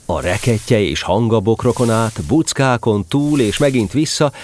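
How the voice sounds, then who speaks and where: A man narrating a children's story as a scripted, expressive storyteller. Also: on the low side, a little hiss or hum.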